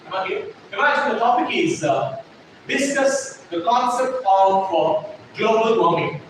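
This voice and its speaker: High-voiced man lecturing a class. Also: animated, measured pace. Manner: emphatic, repeats points, asks class if clear. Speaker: trainer teaching a class. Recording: echoey classroom, distant mic, noisy.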